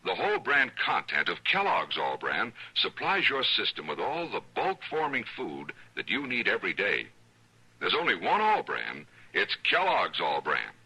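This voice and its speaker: A man's voice: deep voice, huge pitch swings, moderate pace, bright, forceful tone, husky, poor audio. An American man announcing in polished 1950s radio style, reading credits and advertisements. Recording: old radio recording.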